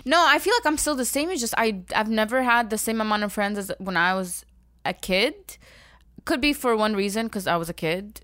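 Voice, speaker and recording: A woman's voice: mid-range voice, lively intonation, normal speed, fairly bright tone, no room sound, clean studio sound. A young woman co-hosting a podcast in casual chat, talkative and teasing, laughing often. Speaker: podcast co-host.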